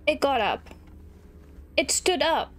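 A woman commentating a horror game, sniffly from allergies and shrieking excitedly at enemies. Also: high voice, slow. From South Africa. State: sniffly, stuffy nose from allergies. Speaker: gaming YouTuber. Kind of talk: let's play commentary.

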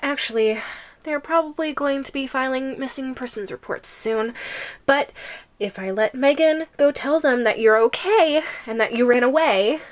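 A woman's voice: high voice, normal speed, lightly acted. A woman voicing a possessive yandere giantess in an ASMR roleplay, coy and giggly, with hesitant menace. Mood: coy, bargaining.

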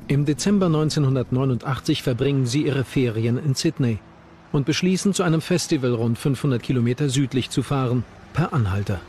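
Guy narrating a documentary, scripted read, mid pitch, music underneath. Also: a little mellow.

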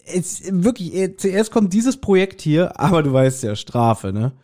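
A man's voice: high voice, big pitch swings, a little slow, warm tone. A man hosting a podcast in casual, joking banter, teasing with a mock-serious manner. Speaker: podcast host.

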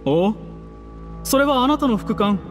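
An actor playing a cold, polite young schemer in a Japanese video game, measured and smugly theatrical. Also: male voice, very high voice, animated, soft background music. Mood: mocking.

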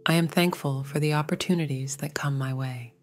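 A woman narrating a scripted guided meditation in a gentle, soothing, measured way. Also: sits low, fairly even intonation, faint music behind.

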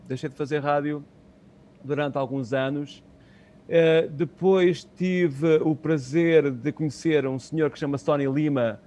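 Man, middle-aged, European Portuguese accent, slightly high voice, halting delivery, repeats phrases, interview guest on a video call. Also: darkish tone. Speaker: interview guest, community radio co-founder. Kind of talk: conversational interview answers. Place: small room at home, on a video call. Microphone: earphone headset on video call.

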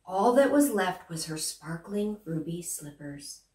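A young woman playing a gracious good witch, telling a children's story in an expressive, deliberate way. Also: fairly low pitch. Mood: gentle storytelling. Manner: animated, deliberate, addressing small children. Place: small plain room indoors. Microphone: far camera. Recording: roomy, distant microphone.

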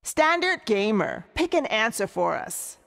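A woman's voice: on the high side, sing-song, measured pace, vocal fry, a bit bright, exaggerated voice. A woman playing a cheerful cartoon co-host of a video game quiz show, with a presenterly announcing manner. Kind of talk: scripted game show hosting. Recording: reverberant studio with crowd noise.

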